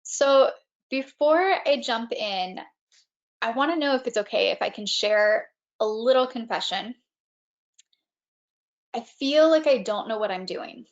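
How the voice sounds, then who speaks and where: A woman's voice: on the high side, expressive, measured pace, long pauses, muffled recording. A woman guest speaker presenting on a webinar from a makeshift home setup, speaking in a friendly, candid way with frequent ums. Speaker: guest speaker and brand strategist.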